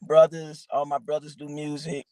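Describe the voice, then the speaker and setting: A woman from Georgia with a raspy voice, a guest rapper rambling casually with lots of fillers. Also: deep voice, monotone, normal speed, warm tone, no echo. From Augusta, Georgia, raised in Atlanta. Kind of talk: casual interview chat.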